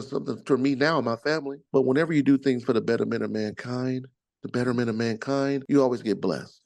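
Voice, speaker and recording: Middle-aged guy w a rich soothing gravelly voice, kinda low, goes on about spirit stuff, dry close mic. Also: a little mellow, no room sound. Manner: expansive, spiritual self-help talk. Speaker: author and podcast guest. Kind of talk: casual interview chat.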